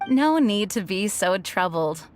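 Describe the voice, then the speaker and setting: A woman's voice: mid-range voice, sing-song, a little slow. A woman playing a polite, serious, responsible young adventurer in a video game. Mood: earnest, apologetic. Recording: music behind the voice.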